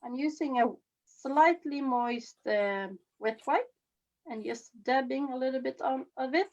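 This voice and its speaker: Slow, halting Swedish-accented woman, slightly muffled. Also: high voice, a little mellow. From Sweden. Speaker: face painting instructor. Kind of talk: informal live demonstration with commentary. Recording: slightly dull, lo-fi webinar audio.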